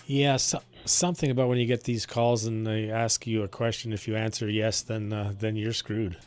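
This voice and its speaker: A man chatting casually on amateur radio in a halting, grumbling way. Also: on the low side, level tone, noisy background.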